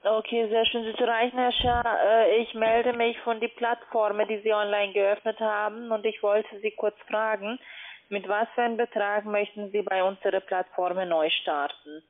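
A woman's voice: medium pitch, fairly even intonation, normal speed. Woman call centre agent, tinny phone line. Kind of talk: telephone sales pitch.

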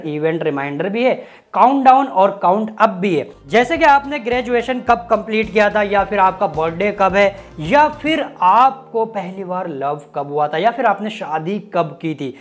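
A man, hosting a casual, chatty tech review with joking, colloquial asides. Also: high-pitched. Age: 20s. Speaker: YouTube tech host. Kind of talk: casual app review to camera. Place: small home studio room with desk. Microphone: lapel mic.

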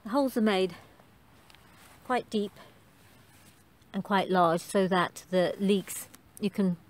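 A middle-aged woman talks through her gardening in a relaxed, homely way, her voice sitting a little low. She fills pauses with ums as she goes. It sounds like a phone recording made outdoors in a garden. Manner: chatty, homely, with frequent ums. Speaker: gardening vlogger. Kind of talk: casual gardening commentary. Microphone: handheld phone camera.

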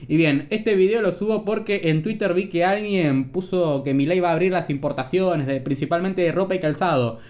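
A man's voice: high voice, normal speed, hardly any pauses, a little mellow. A man with an Argentine Rioplatense accent giving casual, slangy, rambling political commentary. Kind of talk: informal opinion commentary.

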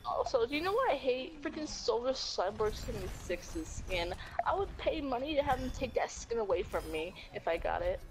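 A woman gaming with friends in casual chat, sassy and quick to swear. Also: a little high, big pitch swings, slight background noise, low-quality recording. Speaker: gamer playing with friends. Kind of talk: casual profane game chat.

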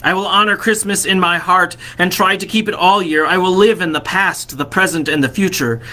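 A man's voice: high voice, fairly even intonation, brisk, bright, forceful tone, pitched above the performer's own voice. Scrooge, frightened old miser, read by a man. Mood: pleading. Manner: pleading, urgent. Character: old remorseful male miser, terrified.

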